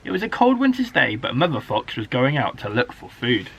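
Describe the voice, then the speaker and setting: A man, a primary school teacher, reading a children's story aloud in an expressive, dramatic way. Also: mid-range voice, brisk, gravelly, husky, poor audio. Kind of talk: animated children's story narration.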